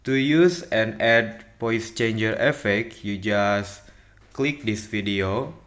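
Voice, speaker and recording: A man presenting an instructional software tutorial in simple steps, often checking in with a short tag question. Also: fairly low pitch, takes it slowly. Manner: simple step-by-step phrasing with frequent "okay?".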